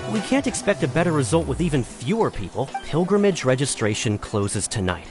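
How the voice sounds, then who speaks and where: Man acting a blunt warrior, animated pitch. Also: a little fast, soft background music, lightly acted. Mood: frustrated. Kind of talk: video game voice acting.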